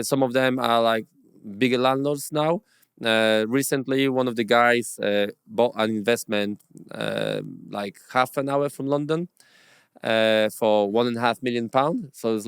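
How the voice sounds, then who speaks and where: Smooth-voiced man, halting non-native English. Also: medium pitch, no room sound, clean studio sound. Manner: halting, with many fillers and restarts. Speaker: property investor, podcast guest. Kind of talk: conversational interview storytelling.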